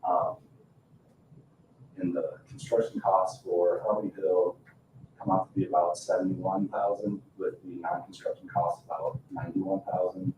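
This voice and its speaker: A man's voice: low voice, flat delivery, normal speed, mellow. A man presenting as a consultant, giving an informal report at a public meeting. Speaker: consultant presenting project costs. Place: indoor town board meeting room. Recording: echoey room, distant mic, faint noise.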